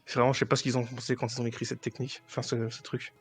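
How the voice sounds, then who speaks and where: A man's voice: medium pitch, flat delivery, racing, soft background music. A man playing in a tabletop game, chatting casually and joking, laughing at his own lines. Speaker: player. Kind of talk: casual chat among tabletop players.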